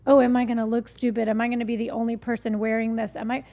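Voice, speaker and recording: A woman speaks as a guest in a relaxed interview, talking candidly and loosely with many fillers. Her voice sits a little high. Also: fast talker. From United States. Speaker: guest, skincare founder. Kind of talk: casual interview chat.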